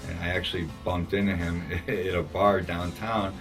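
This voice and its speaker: A thirty-year-old man with a very deep, flat voice speaks haltingly, stumbling over his words and filling gaps with "uh" and "um". He sounds far from the mic, with guitar music clearly audible underneath. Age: 30s. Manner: halting, with many fillers and repeated words. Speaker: cancer survivor, former athlete. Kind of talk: personal testimonial.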